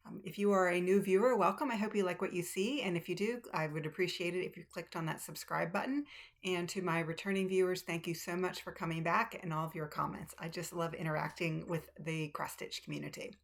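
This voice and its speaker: A woman's voice: on the low side, steady tone, brisk, gravelly. A woman chatting casually to camera about her cross stitch, rambling with ums and restarts, recorded on a tablet's built-in mic in a room at home. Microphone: tablet's built-in mic, no external microphone.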